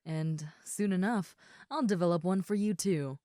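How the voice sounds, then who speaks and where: Woman acting as huge friendly female ogre, lowish voice, casual and chatty, gentle, close dry sound, audio roleplay. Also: moderate pace, no room sound, close to an everyday voice. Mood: friendly, teasing. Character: huge muscular red female ogre roommate, friendly and easygoing.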